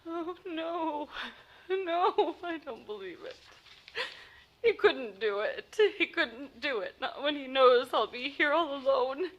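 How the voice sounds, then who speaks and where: An actress playing a frantic, demanding, self-pitying invalid wife in a 1940s radio play, melodramatic and stammering with panic. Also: female voice, high voice, lively intonation, takes it slowly, a bit bright, lo-fi sound, exaggerated voice. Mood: distraught.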